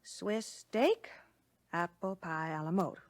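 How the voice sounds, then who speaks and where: A woman playing a courteous American lunch counter waitress, explaining service clearly in a scripted 1960 training film. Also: on the low side, slow, no echo. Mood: polite, instructive. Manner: courteous, instructive, clearly worded.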